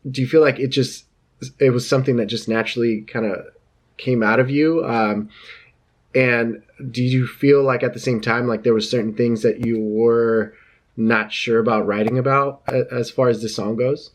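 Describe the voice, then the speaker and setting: A man's voice: medium pitch, fairly even intonation, moderate pace. Casting: male entertainment interviewer, mid-range voice, casual conversational questioning on a video call.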